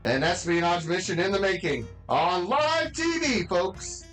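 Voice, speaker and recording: A woman's voice: on the low side, big pitch swings, measured pace, a bit bright, faint music behind. Swooping, bombastic TV showman. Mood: showy, excited. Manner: bombastic announcer patter, exaggerating. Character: loud, theatrical living TV set broadcasting as showman host. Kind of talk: audio drama acting, old TV announcer.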